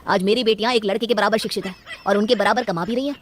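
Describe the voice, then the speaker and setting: A woman's voice: medium pitch, fairly even intonation, rapid-fire, noisy background, close to an everyday voice. A woman voicing a principled middle-aged father in a cartoon story, earnest and firm as he protests. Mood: indignant, proud. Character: devoted, principled middle-aged father defending his daughters.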